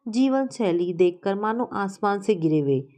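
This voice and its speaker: Woman reading out a story, kinda mellow dark voice, middle pitch. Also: expressive, a little slow. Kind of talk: scripted story narration.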